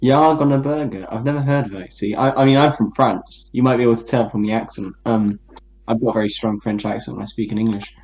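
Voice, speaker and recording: A man's voice: mid-range voice, steady tone, quick pace, darkish tone, poor audio. A man co-hosting a comedy podcast, asking absurd questions in a dry, fake-serious, hesitant way. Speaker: podcast co-host. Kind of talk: deadpan mock interview.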